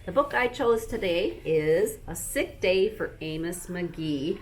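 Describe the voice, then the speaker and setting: A woman, a school librarian, reading a bedtime story aloud to young children in an expressive, animated manner. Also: lowish voice, slow, a little hiss or hum.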